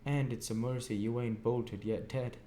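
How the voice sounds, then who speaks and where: A man's voice: mid-range voice, fairly even intonation, normal speed, dark tone, roomy sound, slight background noise, pitched above the performer's own voice, close to an everyday voice. A man voicing a kindly, anxious working man from a storybook, earnest and plain-spoken with rustic grammar. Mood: solemn confiding. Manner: earnest, muttering, rustic ungrammatical speech.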